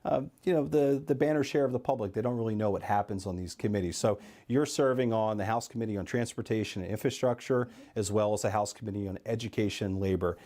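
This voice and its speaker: Low, mellow-voiced male host. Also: fast, few pauses. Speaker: interview host. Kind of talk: interviewing.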